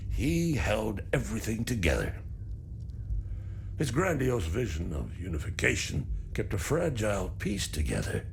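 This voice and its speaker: An actor playing a weary, stoic older farmer in a video game, grieving and embittered by war, speaking in a measured way. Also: male voice, lowish voice, gravelly, slight background noise, full character voice. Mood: bitter, grim. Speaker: non-player character. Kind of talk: video game dialogue acting.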